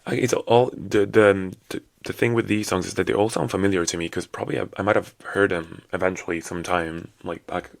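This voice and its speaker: A man in his twenties with a low, hoarse, raspy morning voice, chatting casually and rambling with sassy asides as a solo podcast host. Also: fairly even intonation, a little fast, few pauses, no room sound. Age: 20s. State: hoarse after a night out.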